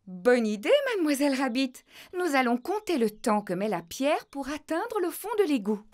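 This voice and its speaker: High, lively cartoon mummy pig. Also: female voice, moderate pace. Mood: helpful. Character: caring, practical, fussy mother pig. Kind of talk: children's cartoon dialogue, dubbed.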